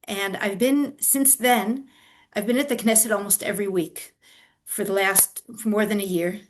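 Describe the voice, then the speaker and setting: A woman's voice: medium pitch, steady tone, moderate pace. An older woman, a grandmother and activist, answering interview questions in an earnest, explanatory way with frequent fillers and restarts. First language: not Hebrew. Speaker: activist guest.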